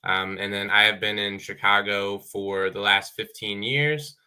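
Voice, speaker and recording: A man's voice: fairly low pitch, fairly even intonation, unhurried, bright, forceful tone, clear, resonant tone. A man in his thirties from Ohio, a business owner telling his story earnestly with lots of "uh" fillers on a video call. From Dayton, Ohio. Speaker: apparel business owner guest. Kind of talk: casual panel chat.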